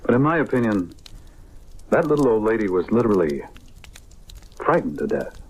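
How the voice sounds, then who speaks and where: A grave, insistent male investigator in a vintage radio mystery. His low voice rises and falls dramatically, formal and ominous, over very heavy noise on the old recording. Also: big pitch swings, normal speed.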